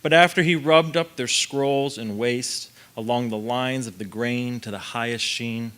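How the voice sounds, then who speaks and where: A man's voice: mid-range voice, measured pace, fairly bright tone, clean tone. A man giving a poetry reading as a guest on a theatre stage, speaking into a stand microphone. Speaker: guest reader at a literary event. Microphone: mic on a stand.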